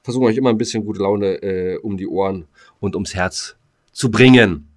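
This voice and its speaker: Low-voiced man, joking podcast host. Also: moderate pace. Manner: joking, rambling, repeats his phrases. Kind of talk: casual comedic podcast chat.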